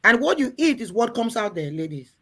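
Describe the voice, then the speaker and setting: A woman's voice: fairly high pitch, big pitch swings, fairly quick, fairly bright tone, breathy edge. A woman from Cameroon hosting a frank talk to women in a room, earnest and coaxing, often turning to the ladies in her audience. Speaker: host.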